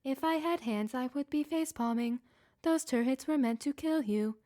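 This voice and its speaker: A woman voicing a cold, sarcastic female AI who runs a testing facility, speaking slowly and condescendingly. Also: high voice, mellow, voice pushed up, natural voice. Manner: slow, measured, condescending.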